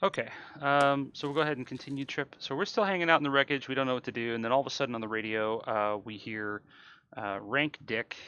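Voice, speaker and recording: A man giving casual live commentary on his own video game speedrun, explaining in a halting, wryly understated way. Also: mid-range voice, smooth, highs cut off. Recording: loud game music behind the voice.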